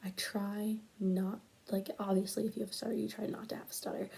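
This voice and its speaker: A young woman presenting a school project in a casual, halting way, stuttering and pausing often and dropping to a whisper at times, recorded on a tablet at home late at night. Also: mid-range voice, flat delivery, vocal fry, warm tone, breathy edge. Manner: stuttering, halting, with many pauses and fillers. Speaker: student presenting a class project.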